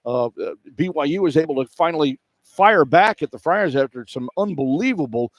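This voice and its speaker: A man's voice: on the high side, animated, normal speed, dry recording. A man giving a radio sports recap as a play-by-play commentator.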